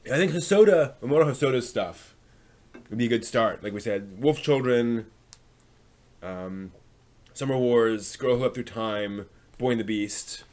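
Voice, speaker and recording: A man hosts a casual live stream in a mid-pitched voice. He talks off the cuff, rambling and hesitating as he thinks aloud. The delivery is conversational and unscripted. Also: a little slow, pauses often, a little mellow. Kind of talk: casual unscripted talk to viewers.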